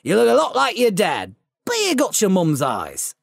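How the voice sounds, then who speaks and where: A woman voicing a huge, shaggy male giant who is a groundskeeper, speaking in a loud, booming, rustic way. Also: huge pitch swings, moderate pace, gravelly, dry recording, studio quality, heavily acted. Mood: friendly.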